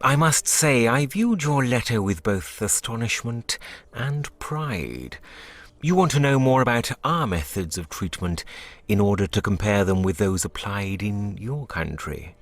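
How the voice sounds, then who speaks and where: Low-voiced, swooping, pompous clinic doctor. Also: male voice, animated, fairly bright tone. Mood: polite, brusque. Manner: formal, measured, condescending politeness. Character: formal, brusque male doctor heading a continental clinic. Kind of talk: video game voice acting.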